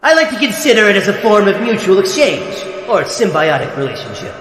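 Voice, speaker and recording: A man's voice: sits high, sing-song, fairly quick. A man voicing a sardonic mutant sea-creature captive in a fan comic dub, with theatrical, drawling sarcasm. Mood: theatrical glee. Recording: echoey, long reverb, loud music behind. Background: music.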